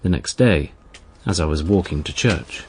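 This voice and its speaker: A man's voice: low voice, expressive, moderate pace, a little mellow, breathy edge. An actor playing a troubled, guarded young churchman, earnest and agitated, in a radio drama. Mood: vexed, suspicious. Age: young adult. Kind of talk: first-person dramatic narration and dialogue.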